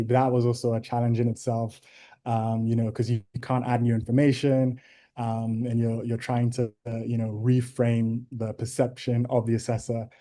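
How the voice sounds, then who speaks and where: A young man from Ghana, a guest on a video call interview, answering in a measured, thoughtful way with frequent ums. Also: mid-range voice, dark tone.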